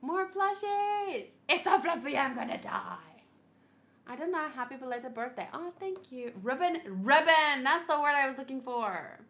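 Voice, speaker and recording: A woman's voice: high voice, lively intonation, moderate pace, pushed and bright. A thirty-year-old woman streamer chatting casually in a gushing, cutesy, rambling way. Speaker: streamer, host of a gaming channel. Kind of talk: casual livestream chat while unboxing plush gifts.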